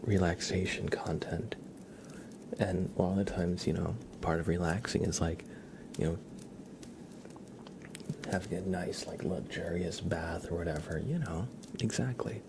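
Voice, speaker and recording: Male ASMR streamer, low and gravelly, soft half-whispered chat, halting delivery, noticeable background noise. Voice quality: soft, breathy, often whispered. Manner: slow, halting, rambling. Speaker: ASMR livestream host. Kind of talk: ASMR, casual chat with viewers.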